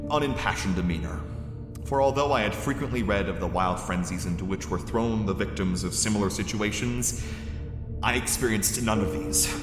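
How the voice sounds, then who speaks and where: A man giving a measured, grave first-person horror narration that swells with dread. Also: sits low. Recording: echoey cave-like reverb with music.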